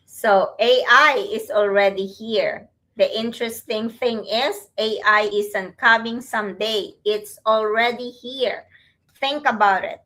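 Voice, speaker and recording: A woman's voice: a little high, steady tone, slow pace, sharp tone. A woman from the Philippines co-hosting a casual live stream, chatty and sometimes searching for words. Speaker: co-host.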